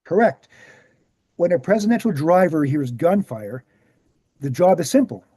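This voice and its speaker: A man reading the part of an earnest author giving a measured, explanatory lecture at a screenplay table read. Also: fairly high pitch, sing-song, mellow, slightly rough, dry recording, heavily acted.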